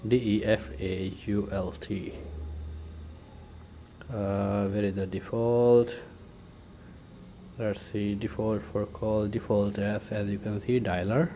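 A man presenting an informal step-by-step tech tutorial in a halting way, thinking aloud as he works. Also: fairly low pitch. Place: indoors at a computer desk. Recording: steady room noise.

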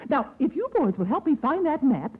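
Coy, high-voiced comic widow. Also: female voice, big pitch swings, a little fast. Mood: scheming. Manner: theatrical, coy. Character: sly, flirtatious, vain middle-aged widow, comic foil. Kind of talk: 1940s radio comedy acting. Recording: tinny, band-limited 1940s radio recording.

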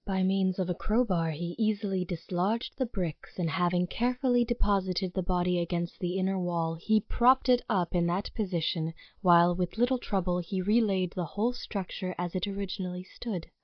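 Female narrator for audio drama: lowish voice, formal, deliberate storytelling, close dry sound. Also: no room sound, highs cut off.

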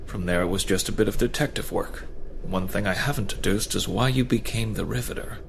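An actor playing a composed, wry millionaire vigilante in a radio drama, speaking in a measured, dry, formal way. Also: male voice, on the low side. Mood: dry, assured. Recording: clearly noisy background.

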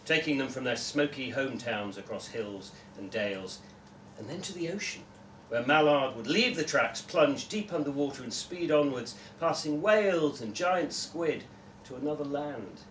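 A man's voice: mid-range voice, expressive, moderate pace, fairly bright tone. A young man giving a live reading of a short story, narrating it to a room. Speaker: story reader at a literary festival. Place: indoor room before a live audience, recorded from several metres. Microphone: distant camera microphone. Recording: roomy echo, distant camera mic, faint noise.

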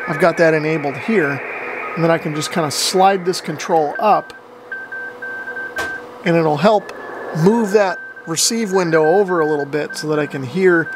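Higher-pitched man explaining over clear background noise. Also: moderate pace. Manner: explanatory, informal. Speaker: ham radio hobbyist and video host. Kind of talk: casual hands-on radio demonstration. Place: home basement radio workshop.

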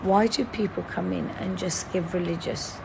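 A woman's voice: on the low side, steady tone, moderate pace, creaky. A woman in her thirties who has lost her voice and hasn't slept, chatting casually with livestream viewers from her bed into a phone held at arm's length. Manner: lying in bed, talking to a phone camera, reading out chat. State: hoarse, lost voice; sleep-deprived. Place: bedroom, indoors, in bed. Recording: fan noise in the background.

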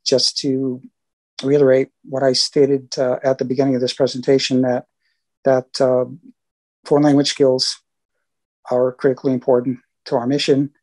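A man, a government language advisor, giving the closing remarks of a presentation in a halting way, with many fillers. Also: mid-range voice, flat delivery, darkish tone, poor audio.

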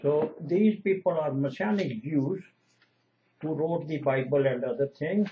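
An older man, a retired lecturer whose first language is Punjabi, slowly and earnestly expounding scripture at home. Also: a little high. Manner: slow, earnest, lecturing, repeats lists of divine names. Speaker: retired lecturer giving Bible exposition. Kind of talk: home-video scripture teaching. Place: small room indoors at home. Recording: dull lo-fi webcam audio.

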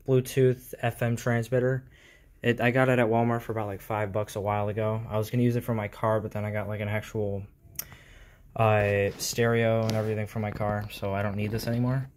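A man giving an informal tech tutorial in a halting, explanatory way, recorded on a handheld phone in a room at home. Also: lowish voice, level tone, darkish tone. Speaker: hobbyist video host. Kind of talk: casual tech tutorial vlog. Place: small indoor room at home.

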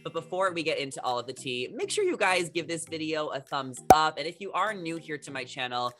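A man's voice: a little high, a bit bright. A young man in his twenties chatting to camera as a gossip vlogger, upbeat and full of "like" and "um", on a desk mic. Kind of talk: casual chat to camera.

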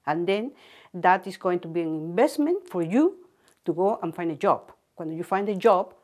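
A woman's voice: fairly low pitch, lively intonation, moderate pace, darkish tone. An older woman from South America, firmly giving advice in a documentary interview indoors.

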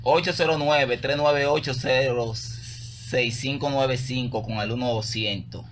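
A man with a Dominican accent hosting a lively interview chat on the radio. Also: medium pitch, unhurried. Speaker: radio host. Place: small radio studio. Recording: some background noise.

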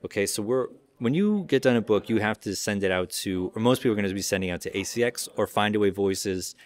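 A man informally demonstrating software in a conversational, explanatory way. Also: lowish voice, expressive, quick pace. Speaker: audio software company representative giving a demo.

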